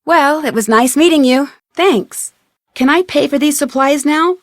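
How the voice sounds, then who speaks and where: An actress playing a polite, inquisitive young woman detective in a video game, speaking in a clear, friendly, conversational way. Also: sits high, unhurried, no echo, clean studio sound. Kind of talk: video game dialogue acting, isolated conversational lines.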